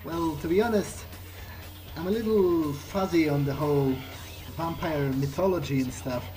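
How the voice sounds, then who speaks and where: A man's voice: a little high, normal speed, warm tone, low-quality recording. A man improvising in-character replies as he plays a game, conversational, questioning and often hesitant. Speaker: gamer role-playing his character. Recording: game music behind the voice. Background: game music.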